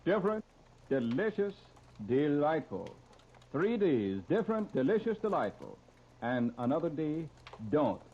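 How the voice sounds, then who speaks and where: A man's voice: fairly high pitch, very animated, a little slow, a little hiss or hum. An American man announcing and reading ads on 1930s radio with a polished, punchy showman's delivery. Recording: dull lo-fi 1930s radio broadcast recording.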